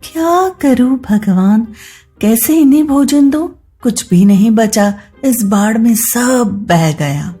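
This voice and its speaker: A poor, devoted village mother played by a woman narrator speaks in a fairly high, earnest voice. She sounds careworn and tender, in storybook style over music. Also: lively intonation, takes it slowly, mellow, breathy edge, exaggerated voice. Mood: despairing. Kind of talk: moral story character voice.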